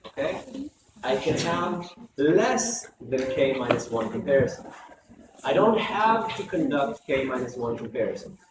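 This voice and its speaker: A hoarse male lecturer teaching a class, repeating key points and often checking in with "okay?". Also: medium pitch, very animated, a little slow. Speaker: university lecturer. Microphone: close microphone. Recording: echoey classroom from far off, noisy.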